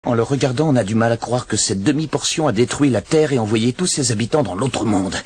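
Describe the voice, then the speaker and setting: A man dubbing a grim, scornful sci-fi avenger with measured, contemptuous menace. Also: medium pitch, close to an everyday voice. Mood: scornful, vengeful. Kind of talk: dubbed film acting. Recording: clearly noisy film clip.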